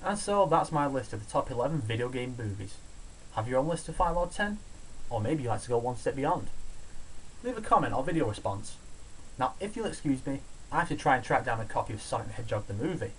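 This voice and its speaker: A man's voice: mid-range voice, animated, fast talker, poor audio. Man doing countdown commentary over hiss. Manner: opinionated, casual, sweary. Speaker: host of a video review show. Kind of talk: scripted countdown commentary on films.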